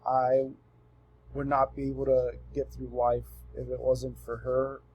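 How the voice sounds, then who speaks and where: A man in his twenties from Southern California, giving a relaxed, earnest self-introduction for a class assignment. He often pauses with ums and restarts his sentences. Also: medium pitch, dull audio, a little hiss or hum. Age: 20s. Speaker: student presenting himself.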